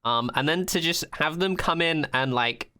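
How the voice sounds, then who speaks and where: A man's voice: a little high, moderate pace, bright, forceful tone, clear, resonant tone. A man co-hosting a podcast, chatting casually in blunt, slangy phrasing with free swearing. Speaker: podcast host.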